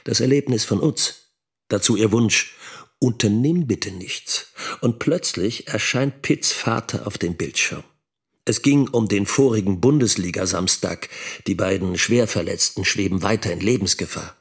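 Husky, lecturing middle-aged teacher. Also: male voice, on the low side, measured pace, heavily acted. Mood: tense, indignant. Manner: didactic, enumerating, emphatic. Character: critical, lecturing middle-aged schoolteacher and father. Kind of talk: radio drama monologue.

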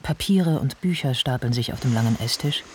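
A detached male narrator, voiced by an actor in a radio play. He describes scenes soberly in the present tense, at a middle pitch. Faint ambient sound sits behind the observant, scripted delivery. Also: a little fast, noisy background, natural voice.

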